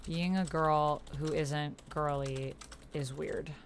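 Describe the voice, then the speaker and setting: Woman host, lowish voice, casual chat and musing to herself, keyboard clatter and noise behind. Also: fairly even intonation, slow pace. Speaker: host of an online text roleplay playthrough. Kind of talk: casual chat mixed with reading typed chat aloud. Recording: keyboard typing, clear background noise. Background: computer keyboard typing.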